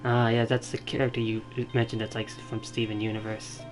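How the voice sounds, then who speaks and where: A man giving casual live-stream game commentary, rambling and halting as he thinks aloud. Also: lowish voice, completely flat delivery, rough recording. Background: game music.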